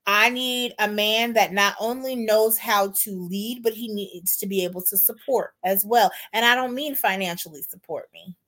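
A woman's voice: mid-range voice, moderate pace, few pauses, fairly bright tone. Woman, early forties, self-assured, casual chat, personal story, checks in with listener. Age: 40s. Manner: self-assured, talks through her own story with many "right?"s.

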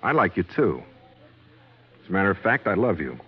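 An American actor playing a jealous, sardonic boyfriend with clipped delivery in a 1950s radio drama. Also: male voice, low voice, big pitch swings, normal speed, slightly rough, exaggerated voice. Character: jealous, sardonic boyfriend stuck tending bar. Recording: dull, lo-fi old radio recording.

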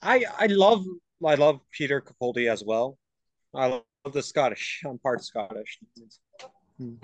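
A man's voice: medium pitch, expressive, measured pace, dry recording. A young man as a podcast guest in casual fan chat, talking enthusiastically in fragmented phrases. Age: young adult.

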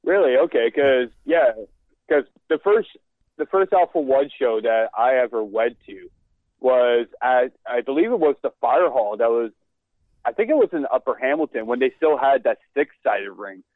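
A man hosting a casual podcast chat, talking in a relaxed, hesitant way with lots of fillers. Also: medium pitch, normal speed, no echo. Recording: tinny phone-like line.

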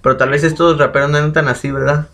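A young man with a Mexican accent chatting casually as a co-host, relaxed and slangy with lots of fillers. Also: fairly high pitch, fast talker, gravelly. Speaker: podcast co-host. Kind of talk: casual chat about rap battles.

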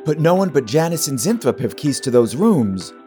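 A man's voice: fairly high pitch, very animated, normal speed, mellow, faint music behind. An actor playing a gossipy, catty male office employee in a campy audio drama. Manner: gossipy, theatrical gasps.

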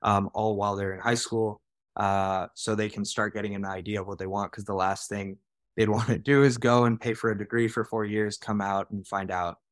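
Quick-talking young man telling his story. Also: on the low side, steady tone, no room sound. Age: 20s. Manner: quick, casual, peppers talk with "like" and "right?". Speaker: podcast guest, real estate director. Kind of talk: conversational storytelling.

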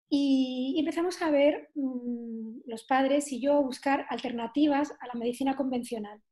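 A woman's voice: high voice, dark tone. A woman, a nutrition therapist telling her own story as an interview guest, chatty and full of fillers and tag questions. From southern Spain. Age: 40s.

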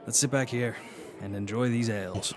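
A man's voice: mid-range voice, fairly even intonation, moderate pace, lightly acted. A man playing a wry, genial and watchful adventurer in a radio drama, speaking articulately. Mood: wry, amused.

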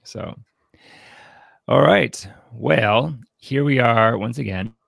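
A man hosting a podcast, chatting casually about books in an unscripted, halting way as he thinks aloud. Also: medium pitch, creaky, a bit bright, dry recording. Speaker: podcast host. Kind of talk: casual unscripted book discussion.

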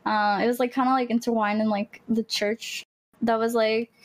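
Guest, woman in her early twenties, from Greece, native Greek, higher voice, chatty and full of "like.". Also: level tone, normal speed. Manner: chatty, laughing, heavy use of "like". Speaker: guest, gamer and streamer. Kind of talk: casual podcast chat.

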